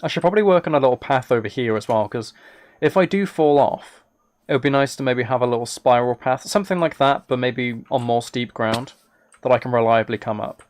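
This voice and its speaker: A man's voice: mid-range voice, a little fast. A man streaming a video game, chatting casually and thinking aloud in hesitant fragments. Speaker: live streamer. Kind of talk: casual livestream chat while playing a video game.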